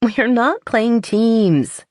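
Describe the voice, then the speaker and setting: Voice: medium pitch, expressive, drawn-out words, dry recording, studio quality, pitched above the performer's own voice. A narrator voicing a friendly, chatty woman who sounds amused, in a romance audiobook. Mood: amused warning.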